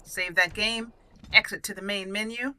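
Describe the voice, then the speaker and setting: Bright-voiced woman doing game commentary. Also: medium pitch, fairly even intonation, moderate pace, a little hiss or hum. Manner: thinks aloud in short fragments. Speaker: gaming channel host. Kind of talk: casual game walkthrough commentary.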